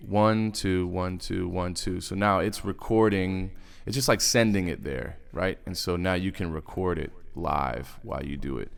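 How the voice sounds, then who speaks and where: A man giving a casual music software tutorial in a laid-back, slangy, rambling way, as host. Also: sits low, gravelly. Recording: loud music over the voice. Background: hip hop beat and synth playback.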